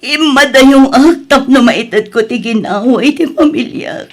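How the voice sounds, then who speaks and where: A woman with an Ilocano accent playing a betrayed, grieving wife and mother in an audio drama, lamenting tearfully. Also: high voice. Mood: anguished, tearful. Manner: tearful, slow, drawn-out lamenting.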